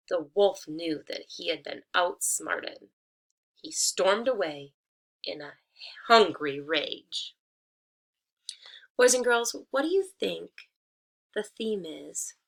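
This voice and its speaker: A woman teaching and reading aloud to children, speaking deliberately and expressively and addressing them directly. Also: on the low side, vocal fry, a bit bright. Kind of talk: children's read-aloud, instructional storytelling.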